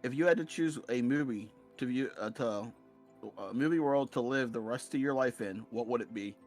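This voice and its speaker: A man's voice: medium pitch, normal speed, a little mellow. A man hosting a livestream, casually relaying questions to the others on a group call. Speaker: livestream host. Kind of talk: casual chat, moderating questions. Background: music.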